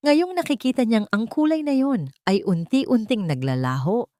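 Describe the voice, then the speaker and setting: A woman narrating a scripted drama in short, measured, emotive phrases. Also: on the high side, lively intonation, dark tone, dry recording, clean studio sound.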